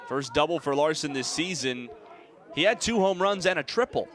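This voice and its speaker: A young American man doing live sports play-by-play, describing the action in crisp detail over venue noise. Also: on the high side, lively intonation, normal speed, fairly bright tone, some chatter behind. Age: young adult. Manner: crisp detailed descriptions, voice raised on big plays. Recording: live game ambience, clear background noise. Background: sports venue sounds.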